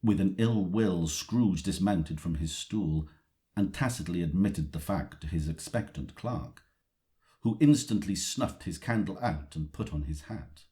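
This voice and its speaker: A man giving a measured, theatrical narration of a scripted story in an audio drama, as narrator. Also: low-pitched, dark tone, husky. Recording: noticeable background ambience noise.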